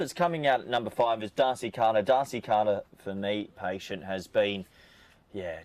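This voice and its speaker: A man's voice: fairly low pitch, dry recording. An Australian man doing live cricket commentary, chatty and joking with his co-commentator while reading out viewer questions.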